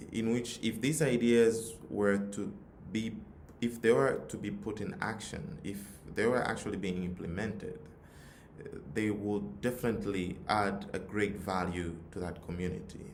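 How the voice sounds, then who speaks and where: A man's voice: on the low side, a little mellow. A man with a Tanzanian accent giving an earnest motivational talk, repeating phrases for emphasis. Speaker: youth nonprofit leader, forum speaker. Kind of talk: motivational talk with personal story. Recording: roomy echo, audible background noise.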